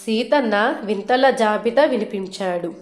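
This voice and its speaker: A woman's voice: medium pitch, a little slow. A woman narrating a scripted moral folk tale as a storyteller. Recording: roomy echo, music underneath.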